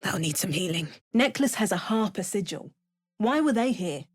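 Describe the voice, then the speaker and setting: A woman's voice: mid-range voice, lively intonation, normal speed, dry recording, natural voice. An actress playing a wry, battle-hardened young female adventurer in a fantasy video game, delivering dry asides. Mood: matter-of-fact. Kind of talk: video game character voice lines.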